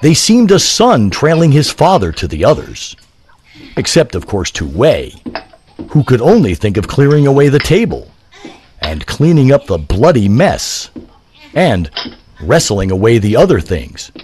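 A man narrating a scripted story in a measured, literary manner. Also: medium pitch, huge pitch swings, a little mellow, a little hiss or hum.

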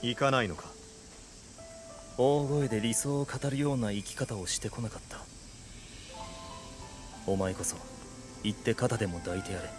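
An actor playing an aloof, dry, reserved samurai in a game cutscene. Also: male voice, medium pitch, moderate pace, long pauses, over music, lightly acted. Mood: wry.

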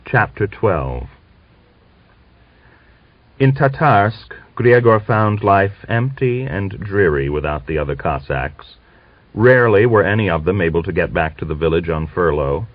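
A man's voice: low-pitched, animated, unhurried, no echo. A man reading a novel aloud as a scripted audiobook narrator. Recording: dull lo-fi audiobook recording.

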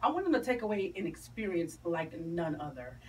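A woman's voice: lowish voice, very animated, brisk, darkish tone. A woman with a Southern US accent speaking animatedly and colloquially as a guest in a radio interview. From South Carolina, USA. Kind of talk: radio interview, promotional chat. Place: small radio studio, indoors. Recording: distant mic, clear background room noise.

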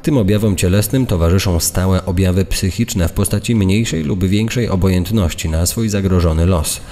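A man's voice: sits low, moderate pace, mellow, faint music behind. A man reading out accounts in a scripted, narrated style. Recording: long hall-like reverb.

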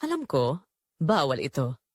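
A woman's voice: extremely low pitch, wildly swooping pitch, a little slow, no echo, clean studio sound. A woman narrating a scripted first-person romantic drama in an emotive, confessional manner. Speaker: storyteller narrating in character.

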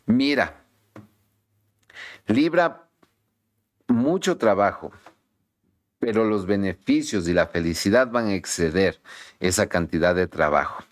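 A man's voice: fairly low pitch, expressive, takes it slowly, pauses often. A middle-aged man giving a tarot reading to camera in a home study, speaking in an emphatic, repetitive, encouraging pep-talk manner. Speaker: tarot reader. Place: home study with bookshelves, indoors.

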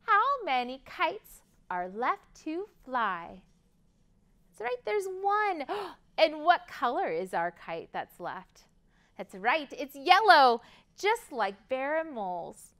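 American lady doing storytime for little kids, bright high voice, super sing-song. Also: sing-song, slow pace. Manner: sing-song, exaggerated for young children. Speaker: children's librarian leading storytime. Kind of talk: interactive children's storytime. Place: library room, indoors. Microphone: lapel.

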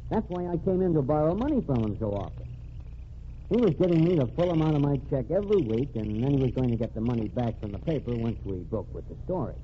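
A cocky, wisecracking newspaperman, as an actor plays him in a vintage radio drama, with a lively, swinging delivery. He sounds cool and quietly menacing. The muffled old transcription carries a faint hiss. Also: mid-range voice, fast, natural voice. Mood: explaining. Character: cocky undercover ex-reporter man, cool tough. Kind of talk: 1940s radio acting.

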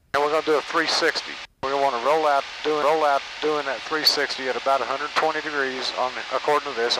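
An older man, a flight instructor coaching a student in the cockpit in a folksy, encouraging way. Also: medium pitch. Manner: encouraging, folksy, gives steady commands. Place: small airplane cockpit. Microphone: headset boom mic. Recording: engine noise behind headset audio.

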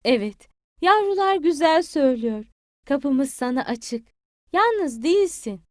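A calm, caring mother hen in a children's cartoon, as a woman puts her on. She speaks in a moderate, gentle way, guiding the little ones with patience. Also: sits high, a little slow, no echo, studio quality, voice pushed up.

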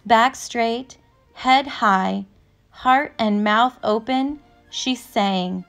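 A woman narrator reading a children's picture book aloud from a script, with measured, careful phrasing. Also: fairly high pitch, a bit bright. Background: instrumental music.

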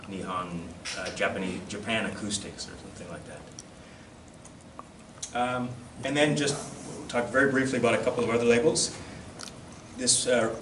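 A man with a lowish voice gives an informal presentation, picking his way haltingly through the material. He is picked up from across an echoey room, with clear noise behind him. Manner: hesitant, halting delivery with self-deprecating asides. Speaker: presenter at a collectors' society. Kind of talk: informal slide-show lecture. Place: classroom, indoors. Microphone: camera across the room. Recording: distant mic, echoey room, loud background noise.

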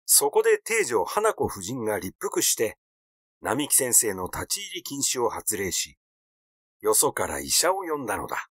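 A man reading a literary story aloud as a scripted, measured narration. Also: mid-range voice, huge pitch swings, fairly bright tone, slightly rough, no echo, studio quality. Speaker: audiobook reader.

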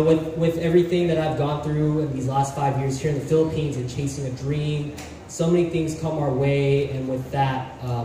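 Young guy on stage, earnest and a bit halting, mellow voice, big echoey hall, faint room hum. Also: on the high side, far from the mic. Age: young adult. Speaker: pageant contestant. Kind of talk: prepared self-introduction speech. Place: large indoor hall stage. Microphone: handheld mic, filmed from far.

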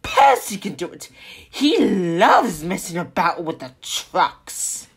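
A man voicing a vain, fussy female railcar in a storybook, with a breathy, affected falsetto. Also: very animated, a bit bright, heavily acted. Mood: indignant, sulky. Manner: affected, theatrical drawn-out delivery.

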